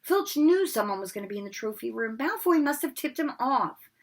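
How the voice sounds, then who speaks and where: A woman's voice: on the high side, big pitch swings, a little fast, voice pushed up. A woman voicing a clever, bossy schoolgirl who speaks sharply and insistently from a storybook.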